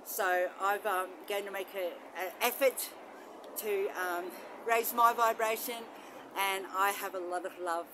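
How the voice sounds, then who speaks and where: A middle-aged woman chatting casually to a phone camera outdoors in a rambling, gently enthusiastic way, with exclamations. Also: medium pitch, steady tone, unhurried. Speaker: vlogger, spiritual practitioner. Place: outdoors in bush and a shallow rock cave. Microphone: phone on selfie stick with attached microphone. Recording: noisy outdoor phone recording, traffic ambience.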